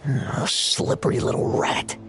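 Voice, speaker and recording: A man's voice: unhurried, natural voice. An actor playing a sly, taunting, menacing male pursuer in a video game.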